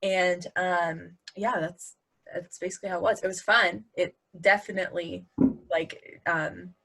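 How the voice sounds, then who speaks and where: A woman's voice: lowish voice, steady tone, unhurried, creaky, sharp tone. A woman who acts, chatting informally and earnestly on an online panel. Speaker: actor. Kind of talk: casual panel chat. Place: video call.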